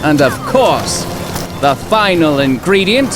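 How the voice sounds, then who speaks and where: A man playing an earnest, emotional hero out to save the world in an audio drama, with dramatic, deliberate delivery. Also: a little high, sing-song, over music. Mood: defiant. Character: bewildered, earnest male hero saving the world.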